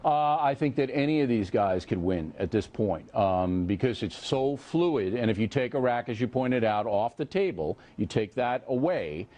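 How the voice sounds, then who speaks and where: A man hosting a TV news interview, asking earnest, probing political questions and often filling pauses with "uh". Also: mid-range voice, lively intonation, warm tone.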